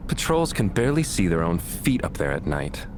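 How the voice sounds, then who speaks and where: An actor playing a wry, roguish thief in a historical Chinese game drama, with a theatrical delivery. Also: male voice, low voice, sing-song, normal speed, background noise. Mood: intrigued. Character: roguish, wry thief man, self-preserving but game.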